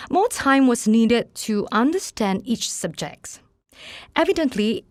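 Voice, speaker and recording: A young woman, hosting a podcast and reading her personal story in a measured, reflective way. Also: mid-range voice, no room sound. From Malaysia. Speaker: podcast host. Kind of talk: personal story read aloud. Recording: voice with music playing underneath.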